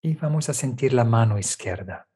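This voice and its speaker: A man leading a guided meditation, giving calm step-by-step instructions with small checking tags in a gentle manner. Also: mid-range voice, moderate pace, breathy edge. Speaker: meditation teacher.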